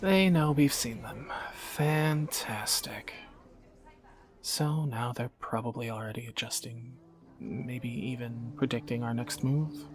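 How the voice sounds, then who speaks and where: A man's voice: medium pitch, slow. A man acting a wry, bantering young thief. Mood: tense, hushed. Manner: teasing, sardonic, conversational banter. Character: young male thief, bantering, wry, anxious but affectionate captor. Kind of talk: scripted romantic audio roleplay, spoken to the listener.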